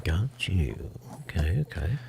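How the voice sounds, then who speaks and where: A man's voice: low voice, big pitch swings, slow pace, vocal fry, mellow. A man hosting a show, asking questions in a casual interview chat.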